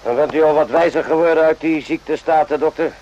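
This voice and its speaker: A man's voice: a little high, fairly even intonation, fast, darkish tone, highs cut off, close to an everyday voice. A man playing a blunt, suspicious sergeant in a radio play, needling and sardonic. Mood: sardonic, probing. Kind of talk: radio drama acting. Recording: noisy old radio play recording.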